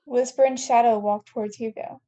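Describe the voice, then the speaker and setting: Mellow, high-voiced woman narrating a script. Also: steady tone, brisk. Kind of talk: scripted narration of stage directions at a table read. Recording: dull, lo-fi recording.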